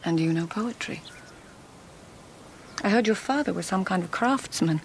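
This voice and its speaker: An actress playing a poised, poetic court lady in a radio drama, refined and measured, at times hushed. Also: medium pitch, lively intonation, slight background noise, lightly acted. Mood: arch, probing. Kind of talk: radio drama acting, poetic narration and dialogue.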